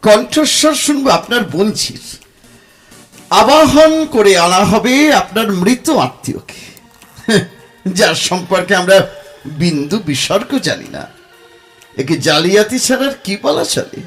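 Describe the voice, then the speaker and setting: An actor playing an angry, sarcastic skeptic who challenges a spirit medium in a theatrical, forceful audio drama style. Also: male voice, high-pitched, very animated, slow pace, pauses often, soft background music, exaggerated voice. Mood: scornful.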